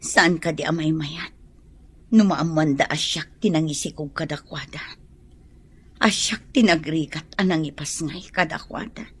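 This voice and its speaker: An actress playing a sorrowful mother who pleads and longs for her children in an Ilocano radio drama, breathy and husky. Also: sits low, unhurried.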